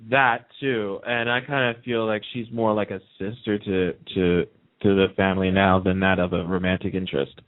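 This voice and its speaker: A man's voice: deep voice, level tone, moderate pace, no echo. A man hosting a talk show, chatting casually in an enthusiastic, opinionated, gossipy way. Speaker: radio talk show host. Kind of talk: casual chat about TV soaps. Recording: narrow tinny phone-line sound.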